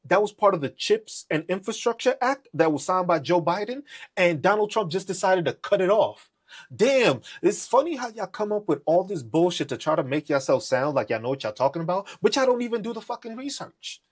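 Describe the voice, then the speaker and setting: A podcast host rants in a profane, confrontational way, mocking the people he argues with. His voice is a little husky and sits fairly high for a man. His pitch swings widely from line to line. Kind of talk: live political rant.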